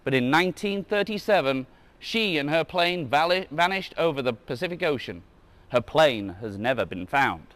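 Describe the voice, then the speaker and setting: A man's voice: on the high side, lively intonation, moderate pace, a bit bright. A man reading a children's book aloud as a museum guide, adding casual asides and now and then correcting his slips. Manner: stumbles over words and corrects himself.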